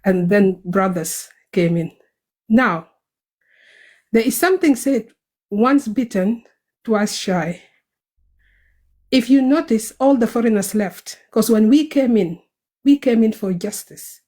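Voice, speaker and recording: A woman's voice: fairly high pitch, animated, slow pace, pauses often, darkish tone. A woman with a South Sudanese accent speaking earnestly and a bit hurriedly in an online group audio chat.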